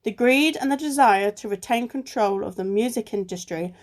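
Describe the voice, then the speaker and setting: A fairly high-voiced, level-headed female sleuth from an amateur radio play, acted by a woman. She is clever and quick to stand her ground, and speaks in a measured, deliberate way. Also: close to an everyday voice. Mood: focused. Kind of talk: amateur radio play acting, often reading articles aloud. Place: recorded alone at home.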